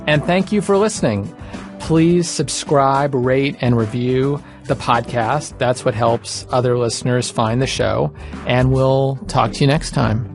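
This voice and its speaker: A man's voice: mid-range voice, unhurried, vocal fry, music in the background. A man co-hosting a podcast, reading credits and chatting casually. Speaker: podcast co-host.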